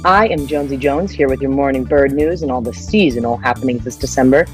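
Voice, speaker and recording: A woman's voice: very deep voice, quick pace, darkish tone, soft background music. A woman playing a breezy, irreverent morning radio newsreader, announcing a bulletin with punch in a radio play. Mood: brisk, irreverent. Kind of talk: mock radio news bulletin.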